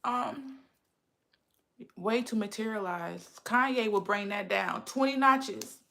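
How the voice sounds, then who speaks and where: A woman's voice: mid-range voice, slow, creaky, a bit bright. A woman in her thirties with a lisp, chatting casually with viewers on a livestream. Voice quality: says she has a lisp. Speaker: livestream host.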